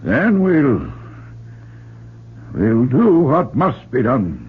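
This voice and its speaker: An actor playing a stern, zealous reverend in an old-time radio drama, grave, deliberate and commanding in delivery. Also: male voice, mid-range voice, wildly swooping pitch, breathy edge, full character voice. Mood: grave, insistent. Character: stern, zealous reverend confronting a murder suspect. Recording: dull, muffled old radio broadcast.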